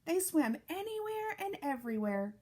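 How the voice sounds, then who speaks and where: A woman reading a picture book aloud to young children, with deliberate, expressive phrasing and casual asides. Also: very high-pitched. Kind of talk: read-aloud storytime narration with casual asides.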